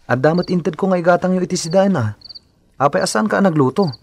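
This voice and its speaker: A man's voice: high-pitched, fast talker, a little hiss or hum. An actor playing a hardworking, long-suffering husband and father in a radio drama, weary and mildly reproachful. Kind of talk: Ilocano radio drama acting.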